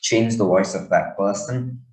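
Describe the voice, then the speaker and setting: Male tech host, deep voice, casual rambling how-to delivery. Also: unhurried, a little mellow, poor audio. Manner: loose, rambling explanation with self-corrections. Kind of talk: casual screen tutorial.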